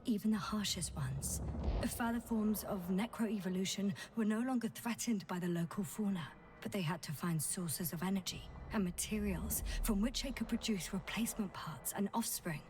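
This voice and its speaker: A woman playing a composed scientist in a video game, explaining with patient, measured and analytical precision. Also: mid-range voice, darkish tone, slight background noise, natural voice. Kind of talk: video game dialogue acting.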